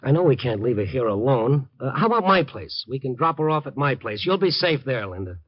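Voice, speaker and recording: An actor playing a streetwise, protective newspaper reporter in a 1950s radio drama, talking in a colloquial, urgent way. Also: male voice, mid-range voice, sing-song, fairly quick, natural voice. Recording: dull old radio recording.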